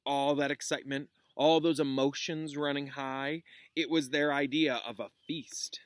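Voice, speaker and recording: Grave young wizard professor read by a man, kindly but solemn storybook character, measured delivery, dry close sound. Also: medium pitch, steady tone, no echo, natural voice. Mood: cool, grim.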